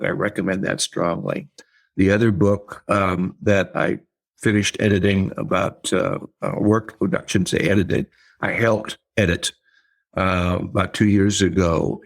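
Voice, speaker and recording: Low-voiced older man, halting and thoughtful. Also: vocal fry. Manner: thoughtful, halting with many fillers and repeats. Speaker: author and writing teacher. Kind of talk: casual interview conversation.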